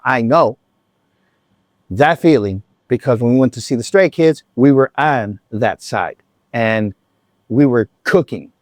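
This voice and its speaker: Rambling animated man vlogger. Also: medium pitch, dry recording. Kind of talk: casual storytelling chat.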